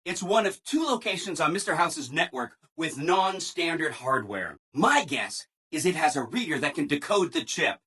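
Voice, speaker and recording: A man's voice: a little high, big pitch swings, normal speed, hardly any pauses, bright, forceful tone, husky, heavily acted. A man voicing a relentlessly cheerful, eager-to-please robot assistant in a video game, with a perky, over-polite manner. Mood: cheerfully explanatory. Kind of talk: video game character dialogue.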